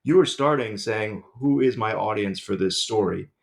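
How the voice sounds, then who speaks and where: A man's voice: fairly low pitch, moderate pace, creaky. A man hosting a podcast, speaking in a casual interview style. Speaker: podcast host.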